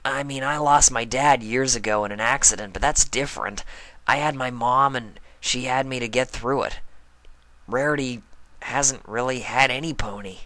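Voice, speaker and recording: A man's voice: medium pitch, normal speed, bright, forceful tone, no echo, lightly acted. A man voicing a brash, confident young female pegasus athlete in a cartoon fan story, talking casually and directly. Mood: frank, concerned.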